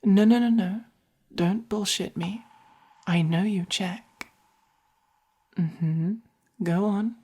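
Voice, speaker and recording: Woman as a soft, breathy English vampire. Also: on the low side, steady tone, full character voice. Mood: curt, commanding. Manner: slow, deliberate, commanding. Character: haughty, possessive but caring vampire buying a human pet. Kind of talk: soft-spoken ASMR roleplay.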